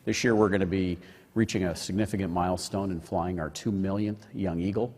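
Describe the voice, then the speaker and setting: A man's voice: low voice, steady tone, brisk, darkish tone, breathy edge. A man speaking as a conference panelist, informally presenting the work of an organization. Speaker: panelist, aviation association president. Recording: echoey hall with long reverb.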